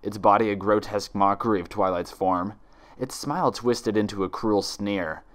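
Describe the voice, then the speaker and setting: Guy reading fan fiction out loud, lowish voice, dramatic scripted narration. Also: moderate pace.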